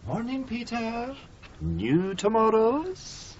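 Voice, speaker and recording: A man's voice: very high voice, very animated, slow, breathy edge, full character voice. A man acting a breezy, slyly needling publishing colleague in a radio drama, quizzing in arch, clipped phrases. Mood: arch, confiding. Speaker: actor in a radio play. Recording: dull 1980s radio recording.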